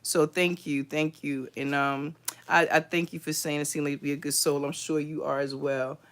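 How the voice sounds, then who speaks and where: A middle-aged woman hosting a livestream book review, chatting in an unhurried, thoughtful way with many pauses and restarts. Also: deep voice, level tone. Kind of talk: casual livestream book talk.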